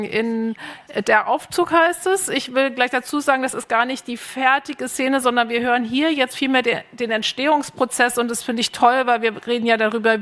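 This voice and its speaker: A woman's voice: a little high, fairly bright tone. A woman moderating a panel presentation, asking guests about their work in an appreciative way. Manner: appreciative, asks guests open questions.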